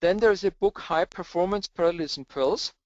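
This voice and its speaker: Austrian man, hesitant presenter, slightly high voice, dry lo-fi webinar sound. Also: brisk, a little mellow, no echo. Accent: Austrian German. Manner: hesitant, many filler sounds. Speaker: presenter, cluster architect. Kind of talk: webinar Q&A answers. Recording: dull lo-fi webinar audio, dry.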